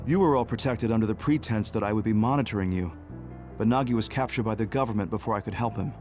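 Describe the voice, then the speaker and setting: A man playing a composed, guarded senior officer in a video game, talking in a measured, explanatory way. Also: on the low side, a little mellow, faint music behind, natural voice. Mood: apologetic. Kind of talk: English video game voice acting.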